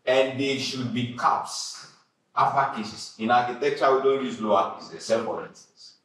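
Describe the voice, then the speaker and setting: A man teaching a classroom software tutorial, giving direct instructions and repeating short commands. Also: normal speed, distant mic. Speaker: design instructor. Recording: echoey room with faint rumble.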